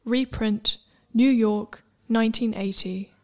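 A woman reading scripted narration for a radio play in a measured, deliberate way. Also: on the high side, steady tone, a little mellow.

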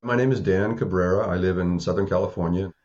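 A man's voice: sits low, fast, a little mellow. An American man in his early seventies giving his opinion as a voter in an informal interview. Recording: slightly dull, lo-fi sound.

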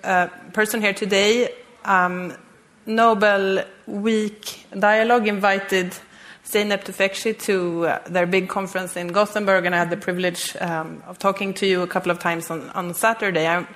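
Woman, podcast host, live on stage, steady voice with filler ums. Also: medium pitch, moderate pace. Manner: fluent with frequent filler ums. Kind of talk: live stage interview introduction. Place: stage before a live audience.

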